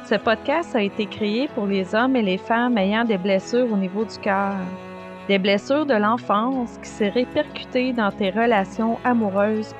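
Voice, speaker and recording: A woman with a Québécois French accent, reading a gentle scripted intro to her podcast as host. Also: mid-range voice. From Quebec. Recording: intro voice over clear music. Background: music.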